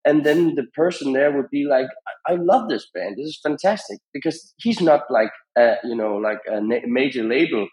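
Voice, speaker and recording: A man giving conversational advice as a podcast guest, in halting phrases with frequent repetitions. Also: mid-range voice, expressive, darkish tone, rough recording. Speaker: podcast guest, founder of a radio monitoring company.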